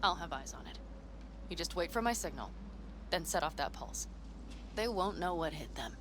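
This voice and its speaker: A woman's voice: on the low side, big pitch swings, moderate pace, noisy background. A woman voicing a tough, blunt young nomad woman in a video game cutscene, brash and teasing, swearing often. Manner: brash and teasing, curses a lot. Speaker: game character. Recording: game audio with loud music behind.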